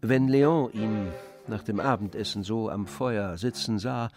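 A man's voice: on the low side, a little slow, music in the background. A man narrating a literary radio play from a script.

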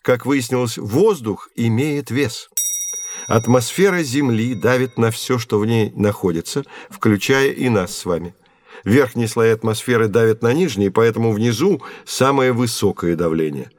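An actor playing a patient professor who explains science to children didactically in an educational audio drama. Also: male voice, mid-range voice, expressive, moderate pace, no room sound, close to an everyday voice. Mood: explanatory. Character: patient male professor teaching children with hands-on experiments.

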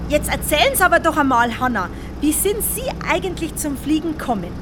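A woman's voice: high voice, big pitch swings, a little fast, background noise. An actress in a radio drama playing a gushing, curious woman interviewer with a chatty, exclamatory manner.

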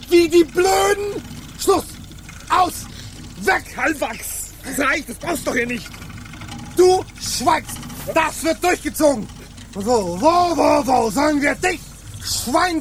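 An actor playing an agitated, bossy leader of pirate mutineers in a radio play, abrupt and commanding. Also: male voice, very high voice, takes it slowly, slight background noise, exaggerated voice. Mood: furious. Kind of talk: German radio play acting.

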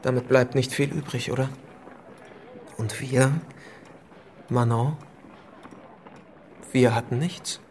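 A man's voice: mid-range voice, steady tone, slow, pauses often, darkish tone, slight background noise, close to an everyday voice. An actor playing a resigned man in a historical radio drama.

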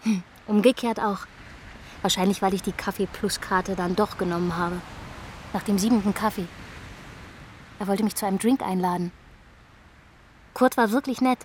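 A woman's voice: medium pitch, a little hiss or hum, natural voice. An actress playing a troubled young woman in a radio drama, telling her story in short, fragmented, matter-of-fact sentences, like a confession. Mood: wistful. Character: troubled young woman recounting her aimless, desperate night drive.

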